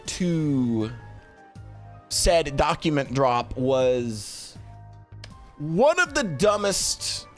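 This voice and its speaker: A man giving casual, unscripted commentary as a streamer, halting often and repeating or correcting words as they go. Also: on the high side, fairly bright tone. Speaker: streamer reviewing visual novels.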